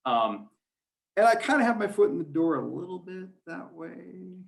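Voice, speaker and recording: A man's voice: sits high, very animated, moderate pace, vocal fry. A man who works as a play-by-play announcer, chatting casually and without affectation in an interview. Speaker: high school sports radio play-by-play announcer.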